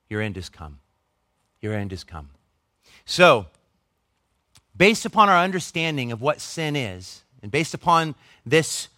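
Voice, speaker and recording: A man's voice: medium pitch, pushed and bright, no echo, studio quality. A man in his forties preaching a conversational sermon as a pastor, earnest and emphatic, with self-deprecating asides. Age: 40s.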